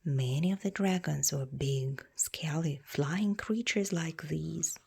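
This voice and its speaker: A woman reading a children's storybook aloud in a measured, expressive storytelling way. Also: deep voice, creaky, husky. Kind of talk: read-aloud storybook narration.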